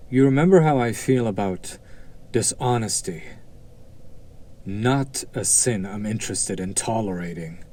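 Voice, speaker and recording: A man's voice: fairly low pitch, unhurried, darkish tone. A man playing a haughty, sardonic demon buyer in an audio drama, drawling with condescending, theatrical skepticism. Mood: mocking disbelief. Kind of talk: scripted roleplay audio drama acting.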